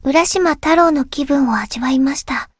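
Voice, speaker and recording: High girlish voice, actress as timid wistful schoolgirl, soft polite delivery, visual novel game, dry studio sound. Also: brisk, no echo, natural voice. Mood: quietly sad. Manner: polite, hesitant, speaking in short gentle phrases. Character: shy, wistful teenage schoolgirl, recently back from long absence. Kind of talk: Japanese visual novel voice acting.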